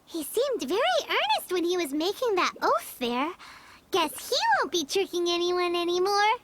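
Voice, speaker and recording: A woman voicing a tiny, childlike fairy companion in a game, excitable and nosy, talking about herself in the third person. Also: normal speed, a little hiss or hum, exaggerated voice. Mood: curious. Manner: squeaky, refers to self in third person. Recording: game dialogue over prominent music.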